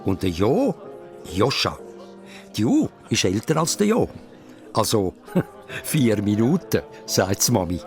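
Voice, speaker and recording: A man with a Swiss German accent narrating a children's radio play in an animated storytelling manner. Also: on the low side, takes it slowly, pauses often, faint music behind.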